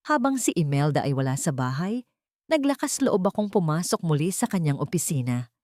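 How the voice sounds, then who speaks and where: A woman's voice: low voice, dark tone, no room sound, clean studio sound. A woman narrating a first-person audiobook drama in a scripted, dramatic storytelling style.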